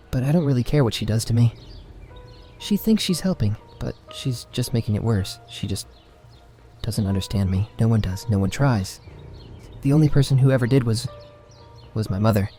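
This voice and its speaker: A troubled, defiant orphan boy, acted by a man in a fantasy audio drama. The voice is dark and soft-edged at an average male pitch, halting and defensive, with music behind it. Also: lightly acted. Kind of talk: narrative fantasy horror audio roleplay.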